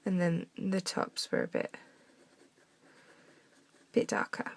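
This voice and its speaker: Croaky woman showing a craft. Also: fairly low pitch, wildly swooping pitch, slow pace. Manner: chatty, talks while drawing, drops to soft mutters. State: croaky from a cough. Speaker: craft tutorial maker. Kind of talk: casual craft demonstration. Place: home desk, indoors.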